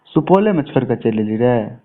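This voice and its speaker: Bihari guy on a phone call, cusses a lot, really thin tinny line, medium pitch. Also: lively intonation, moderate pace. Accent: Bihari (Mithila). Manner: coarse, swearing often. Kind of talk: informal phone conversation. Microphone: telephone.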